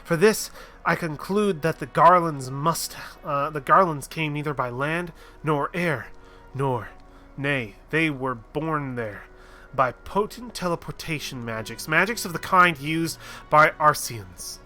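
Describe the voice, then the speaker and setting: A man's voice: on the high side, a little slow, bright, forceful tone, faint music behind. Man doing a formal, grand engineer boss. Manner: archaic, formal phrasing.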